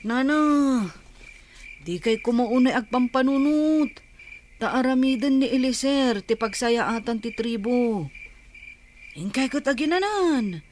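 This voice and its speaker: A woman's voice: high-pitched, takes it slowly, a little mellow, slight background noise. An actress playing a caring young village woman in a radio drama, with a Filipino accent. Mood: gentle concern. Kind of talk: Ilocano radio drama acting.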